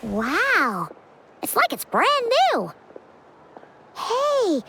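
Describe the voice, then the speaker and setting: A woman playing a small, childlike, excitable fairy companion in a video game, with a perky, exclamatory way of talking. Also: sits high, extremely slow, creaky, a little hiss or hum, heavily acted. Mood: amazed. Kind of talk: video game character acting. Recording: game music behind.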